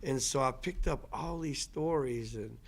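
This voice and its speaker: A man, giving a talk about his life in an unhurried, reflective way. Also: mid-range voice, creaky. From Louisiana. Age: 60s. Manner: unhurried, reflective, trailing restarts. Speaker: guest lecturer. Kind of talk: personal storytelling talk.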